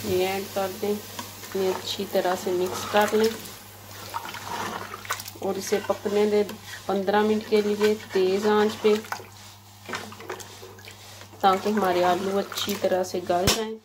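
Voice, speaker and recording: Flat-voiced woman teaching a home recipe. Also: mid-range voice, background noise. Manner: plain, measured listing of ingredients. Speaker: cooking channel host. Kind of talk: step-by-step recipe instruction. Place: home kitchen. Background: frying and stirring sounds.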